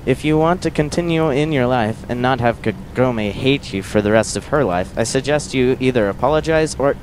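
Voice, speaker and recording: Man playing a wry young monk, medium-pitched. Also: moderate pace, darkish tone, slight background noise, natural voice, poor audio. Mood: wry exasperation. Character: calm, reasonable young monk, wryly exasperated. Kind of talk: amateur audio drama acting.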